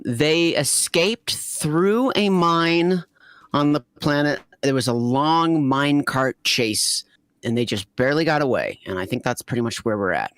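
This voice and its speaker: A man's voice: medium pitch, fairly bright tone, no room sound. A man co-hosting a fan-fiction reading show, giving a casual recap and rambling as he searches for details.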